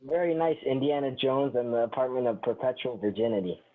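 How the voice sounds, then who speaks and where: A man's voice: medium pitch, expressive, fairly quick, natural voice. A man reading the part of an aloof, sarcastic male party guest at an amateur table read, over thin phone-like audio. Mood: sardonic.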